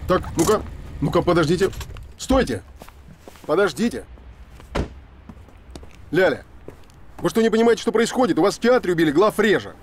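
A man's voice: high-pitched, animated, normal speed, long pauses. A man in his forties playing a persistent, inquisitive theatre director in a naturalistic screen drama. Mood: insistent, agitated. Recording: film dialogue over street and car noise.

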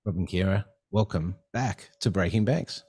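A man's voice: low-pitched, moderate pace, darkish tone, husky. A middle-aged man hosting a podcast interview over a video call, talking casually with many fillers and restarts. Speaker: podcast host. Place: home studio with neon sign. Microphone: headset and desk mic on video call.

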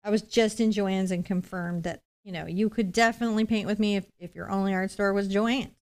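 Clear-voiced woman teaching painting. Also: medium pitch, fairly even intonation, a little fast, a little mellow. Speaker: painting instructor, host. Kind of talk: step-by-step live painting lesson, conversational.

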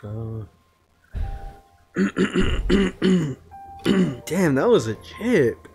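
A man's voice: medium pitch, big pitch swings, drawn-out words, a little mellow. A man chats casually over video game cutscenes. His talk is slangy and full of questions, with game music running behind his voice. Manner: informal, slangy, asks lots of questions. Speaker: co-commentator watching a video game.

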